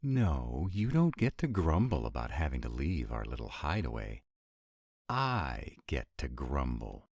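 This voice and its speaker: Vampire lover, played by a male actor, romantic audio drama: extremely low voice, dry close sound, sardonic, exasperated, affectionate. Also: very animated, a little slow, vocal fry, a little mellow, dry recording, exaggerated voice. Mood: mock grumpy. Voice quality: very deep voice. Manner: sardonic, exasperated, intimate, swooping emphasis. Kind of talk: romantic roleplay audio acting.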